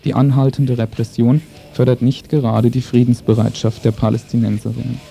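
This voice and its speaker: A man with a very dark, soft-edged voice reads a scripted radio commentary. His delivery is formal and sober, with little rise or fall in pitch. Faint music plays behind him. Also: flat delivery, a little fast, vocal fry. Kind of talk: scripted political news commentary, read aloud.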